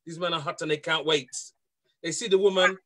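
A man's voice: on the high side, fairly even intonation, a little fast, a bit bright. A man on a talk show panel, playful and teasing, often repeating himself. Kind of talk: casual panel chat.

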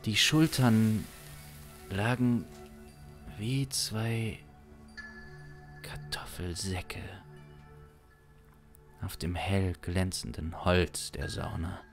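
A man in his thirties narrating an improvised suspense story in a deliberate, dramatic way, close to a studio microphone. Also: low-pitched, steady tone, soft background music. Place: small indoor streaming studio. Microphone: close studio microphone on boom arm.

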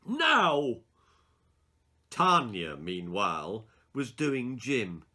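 Male storyteller, 60s, dramatic reader of children's tales, bright, keen-edged voice with very varied intonation. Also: mid-range voice, measured pace. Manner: expressive, theatrical, emphatic. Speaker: author reading his own children's stories. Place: small book-lined room indoors.

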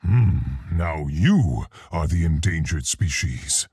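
An actor playing a big, earnest, awkward scientist gorilla in a video game, with a gravelly, husky voice and deliberate, scholarly phrasing. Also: male voice, deep voice, big pitch swings, dark tone, no room sound, clean studio sound, heavily acted. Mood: confident, earnest. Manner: deliberate, precise, scholarly, hesitant. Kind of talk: video game character voice lines.

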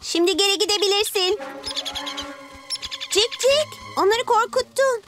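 A woman voicing a spirited, headstrong six-year-old superhero girl in a children's cartoon, assertive and expressive. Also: extremely high pitch, measured pace. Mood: determined. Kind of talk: animated children's cartoon dub. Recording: music underneath.

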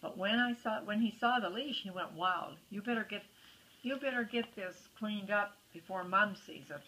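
Know-it-all little sister for a storybook, woman acting: bright, sharp-tongued, bossy. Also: medium pitch, moderate pace, a little hiss or hum, lightly acted, low-quality recording. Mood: tattling.

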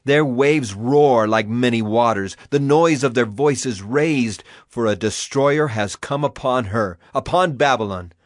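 A man's voice: mid-range voice, unhurried, few pauses, no room sound, studio quality, lightly acted. A man playing a solemn prophet proclaiming divine wrath in a declamatory, dramatized scripture reading.

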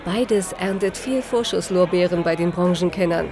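Low-voiced Swiss woman narrating over music. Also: moderate pace. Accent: Swiss German. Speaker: reporter.

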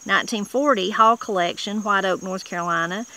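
Homespun Appalachian woman, bright voice. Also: medium pitch, brisk, rough recording. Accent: Southern Appalachian. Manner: homespun and plain-spoken, with frequent restarts. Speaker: channel host. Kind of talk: casual explanatory talk reading dictionary entries. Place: outdoors in a leafy wooded yard.

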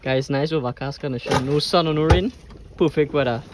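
A Trinidadian man talks fast and easy from an open fishing boat, his voice sitting a touch high. He banters with his crew and hypes every fish. Loud wind and boat noise roll under everything from the handheld camera. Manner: chatty, laughs often, hypes the catch. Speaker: fishing vlogger host. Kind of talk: casual banter while filming.

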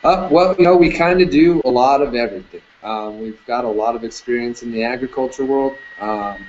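A man in his thirties, a security camera business owner, talks casually in an interview over a video call, relaxed, with many fillers. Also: medium pitch, a little fast. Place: indoor room with curtains. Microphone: webcam on video call. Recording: dull lo-fi video call audio, faint noise.

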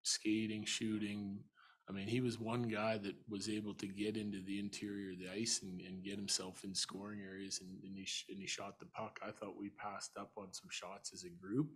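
A husky, fairly low-voiced middle-aged man speaks as a head coach into a table mic in an arena press room. He is measured and thinks aloud, often restarting his sentences. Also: little pitch movement, fairly bright tone, low-quality recording. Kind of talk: postgame press conference answers.

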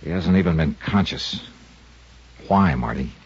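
An actor playing a clipped, wry insurance investigator who narrates a 1950s radio drama. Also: male voice, a bass voice, lively intonation, measured pace, a little mellow, breathy edge, exaggerated voice. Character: hard-boiled insurance investigator man. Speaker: detective and narrator. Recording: dull 1950s radio recording with music.

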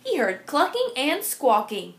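A woman reading a picture book aloud to children as a teacher, expressive and emphatic. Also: high voice, a little slow.

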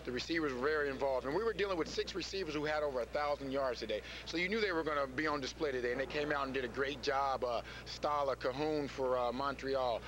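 Fast-talking male football analyst. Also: mid-range voice, fairly bright tone. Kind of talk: postgame analysis. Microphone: handheld mic. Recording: broadcast audio with background noise.

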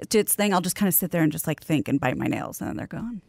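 Quick-talking American woman, close and dry. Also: lowish voice, lively intonation, gravelly, darkish tone, no echo. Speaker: podcast co-host. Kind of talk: casual banter. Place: podcast studio. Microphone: close studio mic with headphones.

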